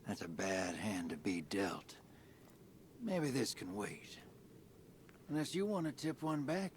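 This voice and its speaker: Slow-drawling, wry old immortal rogue. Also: male voice, fairly low pitch, expressive, a little hiss or hum. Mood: wry, inviting. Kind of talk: video game cutscene dialogue.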